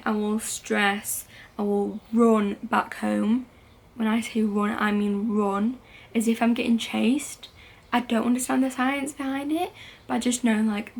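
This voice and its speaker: A woman's voice: on the high side, vocal fry. A woman, talking candidly and casually to camera as a vlogger, with frequent "like" and "um" fillers. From United Kingdom. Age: late teens. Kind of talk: casual confessional talk to camera.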